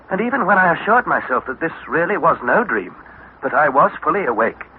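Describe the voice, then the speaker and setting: An actor playing an eager young English nephew, narrating and speaking dramatically in the style of 1960s radio adventure. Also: male voice, medium pitch, close to an everyday voice. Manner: dramatic, articulate, excitable. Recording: tinny, noisy vintage radio recording.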